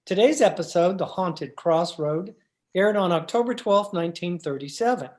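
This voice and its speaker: A woman's voice: lowish voice, normal speed, a little mellow. A woman reading a scripted introduction as the announcer of an old-time radio drama.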